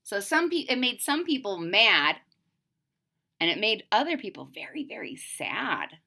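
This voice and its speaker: A woman reading a picture book aloud to children in a dramatic way, pausing to put questions to young listeners. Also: medium pitch, big pitch swings, vocal fry, pushed and bright.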